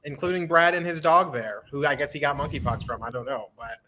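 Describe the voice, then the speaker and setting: A man's voice: fairly high pitch, a little fast, a crowd in the background. A man hosting a casual political podcast, opening with rambling, teasing banter. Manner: joking, rambling intros with teasing. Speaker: podcast host.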